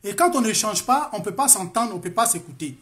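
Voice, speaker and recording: A man's voice: high voice, expressive, fast talker, fairly bright tone. A man, an imam, defending his view in a religious debate over the phone, explaining and repeating his phrases. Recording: phone call audio.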